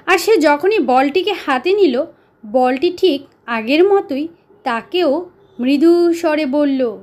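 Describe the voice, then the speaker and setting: A woman narrating a children's story as a storyteller, deliberate and pausing for dramatic effect. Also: sits high, lively intonation, darkish tone.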